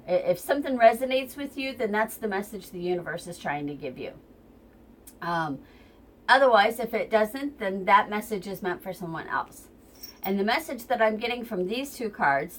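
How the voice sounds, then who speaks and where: A woman's voice: mid-range voice, fairly bright tone. A woman with a stuffy, runny nose giving a casual, rambling tarot reading at home near her kitchen, recorded on a tripod camera, with plenty of ums. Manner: chatty, rambling, many ums. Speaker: tarot reader.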